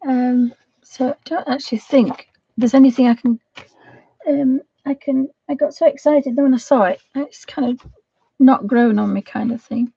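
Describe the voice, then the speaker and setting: Croaky soft-spoken woman, dull lo-fi stream. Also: high-pitched, steady tone, normal speed, no echo. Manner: soft, rambling, often half-whispered. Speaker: livestream host. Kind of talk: casual chat while colouring.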